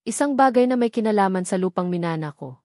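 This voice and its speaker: A woman voicing a frightened, desperate young woman in a storybook drama, pleading emotionally in halting short sentences. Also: mid-range voice, lively intonation, dark tone, no room sound, clean studio sound, lightly acted. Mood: fearful confiding. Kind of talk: dramatic audio storybook dialogue.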